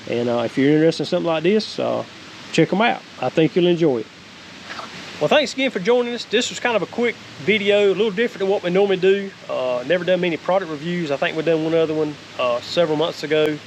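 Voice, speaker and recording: A man's voice: fairly high pitch, lively intonation, fast, rough recording. A middle-aged man with a Southern American accent giving a casual, folksy, plain-spoken product review. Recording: faint hiss and outdoor noise.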